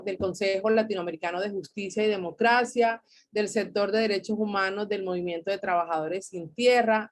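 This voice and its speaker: Woman moderator introducing a panelist. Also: mid-range voice. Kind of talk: formal introduction of a speaker.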